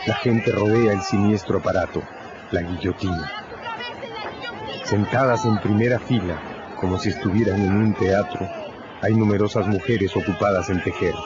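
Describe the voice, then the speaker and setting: A man narrating a radio drama in a measured, solemn, dramatic scripted style. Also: lowish voice, background noise. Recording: dull recording, loud music and crowd behind.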